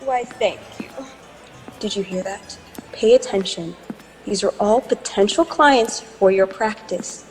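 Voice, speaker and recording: A woman's voice: medium pitch, measured pace, natural voice. A woman playing an effusive, bossy social climber with an affected manner in a radio drama. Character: gushing, bossy, social-climbing married society lady. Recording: long hall reverb, music underneath.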